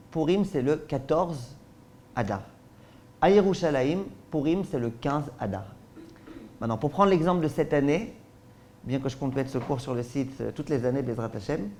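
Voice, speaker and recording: A man's voice: fairly high pitch, darkish tone, slight background noise. Man explaining with rhetorical questions. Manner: frequent checks with listeners ("d'accord?"). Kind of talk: spoken Torah lesson.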